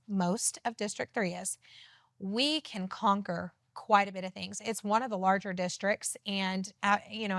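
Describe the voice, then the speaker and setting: A woman with a loud voice, speaking as a city council candidate in an earnest, emphatic TV interview. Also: medium pitch, no echo, clean studio sound. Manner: emphatic, earnest campaign talk. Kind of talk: TV studio interview.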